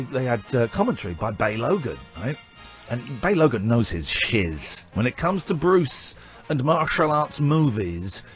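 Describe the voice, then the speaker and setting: A British man hosting live radio, chatting casually in an excitable, blokey, bantering way. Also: mid-range voice. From United Kingdom. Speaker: radio host. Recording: muffled lo-fi radio recording with music.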